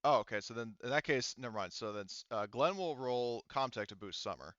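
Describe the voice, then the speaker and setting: A man's voice: mid-range voice, lively intonation, fairly quick, fairly bright tone, no room sound, muffled recording. A man acting as game master, casually talking through rules and thinking aloud in a hesitant way. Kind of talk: casual tabletop game refereeing, explaining rules.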